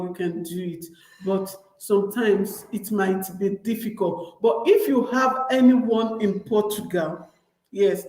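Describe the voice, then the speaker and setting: A young Nigerian woman with a Nigerian accent speaks slowly and unhurriedly, at a middling pitch. Her talk is chatty and loose, full of repeats and restarts as she speaks right to her viewers. The sound carries a roomy echo. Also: mellow. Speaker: vlogger. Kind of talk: casual advice vlog.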